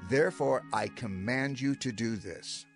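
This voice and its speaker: An actor playing a solemn, commanding old male lawgiver in a dramatized scripture reading, with measured declamation. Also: mid-range voice, close to an everyday voice. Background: background music.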